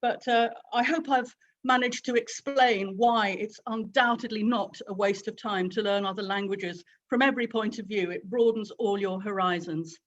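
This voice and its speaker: A woman's voice: medium pitch, normal speed. A woman panelist closes her remarks in an earnest tone. The sound is dull and lo-fi, with a mid-range voice. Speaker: panel speaker. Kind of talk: closing remarks of a panel talk.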